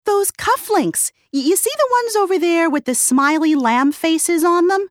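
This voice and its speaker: A man reading a storybook aloud and voicing a sad, wistful little girl who speaks slowly and wonders aloud. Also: very high-pitched, lively intonation, no echo, clean studio sound, voice pushed up.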